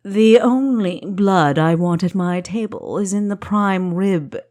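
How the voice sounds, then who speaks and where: A woman's voice: mid-range voice, unhurried, a little mellow, natural voice. A woman voicing a genial but commanding male doctor in a fantasy audiobook, with courteous, fussy manners. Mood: firm, teasing.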